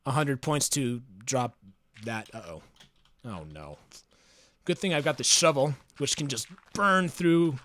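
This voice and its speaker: A man chatting casually on a livestream, reading chat aloud in a smooth, soothing voice, musing and hesitant with fillers. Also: mid-range voice, expressive, a little slow, a bit bright.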